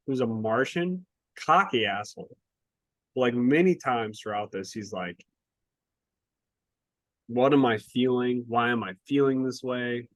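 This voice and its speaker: A man co-hosting a casual book review podcast, talking in an animated, exasperated way and swearing casually. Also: medium pitch, no echo. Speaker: podcast co-host.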